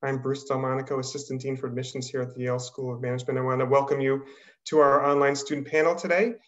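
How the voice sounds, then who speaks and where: A middle-aged man hosting an online panel, speaking in a halting, polite, apologetic way over a video call. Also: medium pitch, flat delivery, fairly bright tone. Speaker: admissions dean hosting a panel. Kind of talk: hesitant unscripted welcome on a webinar. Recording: slightly dull video-call audio.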